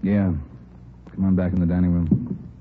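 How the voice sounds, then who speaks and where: Actor playing a firm police chief, low voice, tinny old radio, faint hiss. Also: male voice, flat delivery, normal speed, natural voice. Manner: firm, commanding. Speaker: chief detective. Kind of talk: 1940s radio acting.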